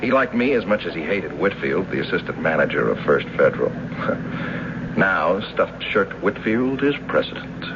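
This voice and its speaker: An actor playing a bitter, sardonic husband, a former banker turned struggling teacher, in a radio mystery drama on an old recording. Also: male voice, low-pitched, very animated, normal speed, dull audio, background noise. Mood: brooding, defensive.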